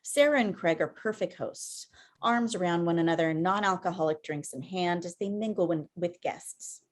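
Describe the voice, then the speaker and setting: A woman's voice: lowish voice, moderate pace. A woman reading scripted stage directions as narrator at a table read over video call.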